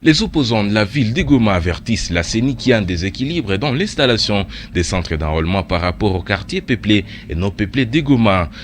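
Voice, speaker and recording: A male news anchor reads a radio bulletin in a low voice that moves freely up and down. His delivery is measured and steady, in the manner of a newsreader. A faint noise sits under the recording.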